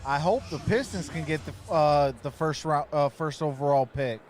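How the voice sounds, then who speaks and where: A man hosting a stream, casually calling basketball and chatting about sports in an offhand, halting way. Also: fairly high pitch, darkish tone, faint music behind. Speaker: stream host doing his own play-by-play. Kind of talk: casual play-by-play and sports chat.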